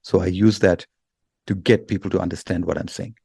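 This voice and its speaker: A man with a fairly low voice explains his views persuasively. He stresses points by repeating and listing them, and the recording is dry and close. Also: fairly even intonation, a little fast, vocal fry, dark tone, breathy edge, dry recording. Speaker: guest podcasting expert.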